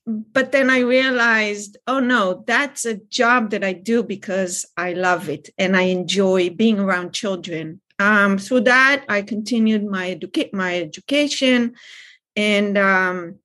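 A woman in her fifties from Israel, calmly answering interview questions as a child development expert. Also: medium pitch, measured pace.

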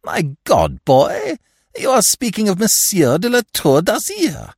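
A blustering, easily affronted old lord, as a male narrator puts him on in a storybook reading. His voice rides high and shrill, leaping all over the scale whenever he is crossed. The sound is dry and close. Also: huge pitch swings, a little slow, dry recording, full character voice. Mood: indignant. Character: testy elderly male nobleman, fussy and shrill. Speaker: provincial lord and godfather.

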